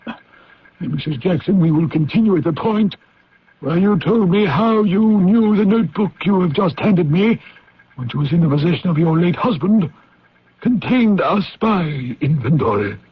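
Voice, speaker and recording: An actor playing a guarded, courteous government official in a radio drama, formal and officious with hesitant pauses. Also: male voice, high-pitched, animated, slightly rough. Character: formal, guarded British War Office official, knighted gentleman. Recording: dull, muffled old radio broadcast.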